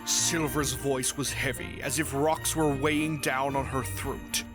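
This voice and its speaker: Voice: medium pitch, fairly even intonation, a little slow. A narrator reading a scripted fan fiction story aloud. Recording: music behind the voice.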